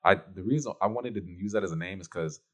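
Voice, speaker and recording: A young man from Texas, a rapper guest on a radio show. His voice sits very low and he races through his words. His speech rambles, with "like" thrown in all the time. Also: fairly bright tone. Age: young adult. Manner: quick, rambling, heavy use of "like". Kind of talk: casual radio interview.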